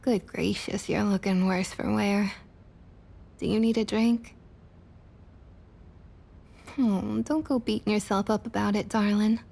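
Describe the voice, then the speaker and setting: A woman playing a domineering, patronizing vampire councilwoman with a Southern US accent and a husky, breathy voice, in an ASMR roleplay. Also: mid-range voice, fairly even intonation, a little mellow. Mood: amused, condescending. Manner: condescending, drawling pet names, slow and deliberate.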